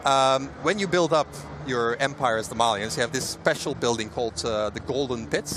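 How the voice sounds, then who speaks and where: A man explaining game features in a casual booth interview, relaxed and full of fillers and restarts. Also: mid-range voice, fairly quick, noisy background. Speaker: game developer guest. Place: busy outdoor convention booth.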